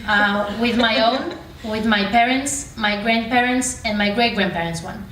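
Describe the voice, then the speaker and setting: A woman's voice: a little high, unhurried, fairly bright tone. A woman in her twenties from Bulgaria giving an earnest, argumentative prepared speech as a student speaker. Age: 20s. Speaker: student speaker on a youth panel. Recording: roomy, distant mic, background room noise.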